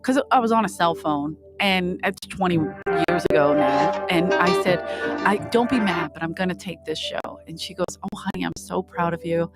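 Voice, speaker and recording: A middle-aged American woman chatting casually and acting out conversations as she tells stories, swearing freely. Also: fairly low pitch. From Philadelphia, United States. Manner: laughs often, swears freely, acts out quoted dialogue. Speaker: podcast guest, performer. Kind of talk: casual chat, animated storytelling.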